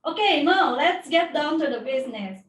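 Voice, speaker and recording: A woman's voice: high voice, animated, moderate pace, fairly bright tone. A woman hosting a conversational interview for an online webinar, recorded indoors. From Indonesia. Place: indoor office. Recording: roomy, distant mic, faint noise.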